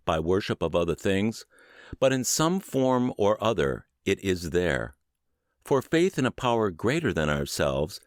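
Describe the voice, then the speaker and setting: A middle-aged man reading aloud as scripted audiobook narration, in an earnest, measured and expressive manner. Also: on the low side, no echo, clean studio sound.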